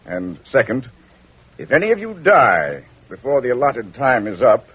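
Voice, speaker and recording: Husky, fussy old lawyer. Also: male voice, mid-range voice, wildly swooping pitch, normal speed. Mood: formal. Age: older. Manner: dithering, hesitant, pleased with himself. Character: flustered, fussy old male attorney. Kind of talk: 1940s radio drama acting. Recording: muffled vintage radio recording.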